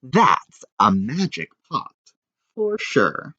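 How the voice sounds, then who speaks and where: A man voicing an eager, simple-minded big village handyman in a storybook, with drawn-out agreeable exclamations. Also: mid-range voice, huge pitch swings, pushed and bright, no room sound, highs cut off, full character voice. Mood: amazed.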